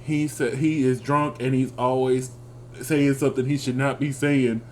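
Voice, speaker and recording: A man's voice: medium pitch, little pitch movement, normal speed, a crowd in the background. A man from Texas with a Southern accent, co-hosting a casual review chat in a laid-back, chuckling way. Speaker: podcast co-host.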